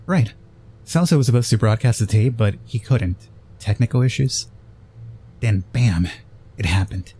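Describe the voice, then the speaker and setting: Man playing a pushy, brisk female TV reporter. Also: lowish voice, mellow, a little hiss or hum, voice pushed up, lightly acted. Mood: brisk, insistent. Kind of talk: reading game dialogue in character.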